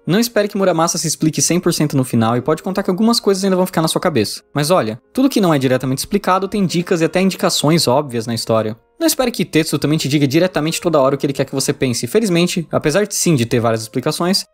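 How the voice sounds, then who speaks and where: A man's voice: a little high, expressive, rapid-fire, few pauses. A Brazilian man narrating a scripted review as a video essayist, informal and opinionated. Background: background music.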